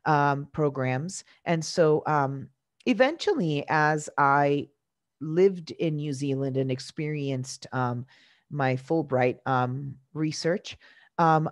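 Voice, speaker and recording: Woman in her forties, first language Italian, from Venezuela. Teacher presenting at a conference. Deep for a woman, earnest, measured, dry close sound. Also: dry recording. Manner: earnest and measured, pausing often to find words.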